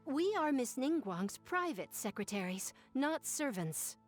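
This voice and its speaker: A woman's voice: high-pitched, big pitch swings, measured pace, soft background music. An actress playing a polite, overworked female private secretary who speaks formally. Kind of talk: video game voice acting.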